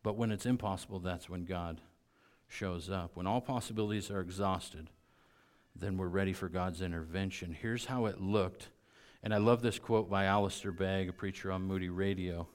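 A man with an American accent preaching a sermon to a congregation, repeating key phrases for emphasis and moving between quiet and loud delivery. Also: low voice, steady tone, normal speed, clear, resonant tone.

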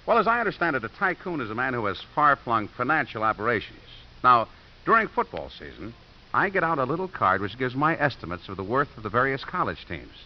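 A man's voice: medium pitch, sing-song, lightly acted. An actor playing a cynical, wisecracking American divorced father with a dry, sardonic manner in a 1950s radio drama. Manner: dry, sardonic, hesitant pauses. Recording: muffled 1950s radio recording.

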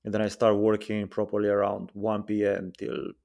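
A man in his twenties, a podcast guest chatting casually and relaxed, with many fillers and pauses. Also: lowish voice, gravelly. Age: 20s. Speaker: guest, freelance software engineer.

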